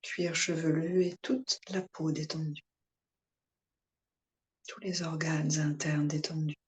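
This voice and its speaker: A woman yoga instructor guiding a relaxation meditation in calm, soothing short phrases with pauses. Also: sits low.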